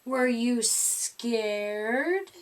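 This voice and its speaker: A woman's voice: on the high side, animated, drawn-out words, recorded from a distance. A woman voicing a hungry male storybook monster, theatrical and growling, fond and playfully menacing. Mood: playful menace.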